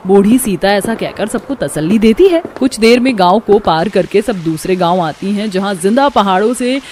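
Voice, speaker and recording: A woman narrates a scripted tale in a dramatic storyteller's style. Her pitch sits in the middle of the female range, and the recording carries faint noise and clicks. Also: animated, moderate pace, a little mellow.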